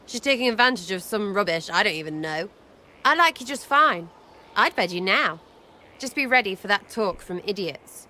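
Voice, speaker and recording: A woman's voice: medium pitch, lively intonation, normal speed, bright, forceful tone. An actress voicing a cheeky, irreverent young elf woman who teases and uses crude slang. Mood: teasing. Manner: playful, teasing, crude slang. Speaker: companion character.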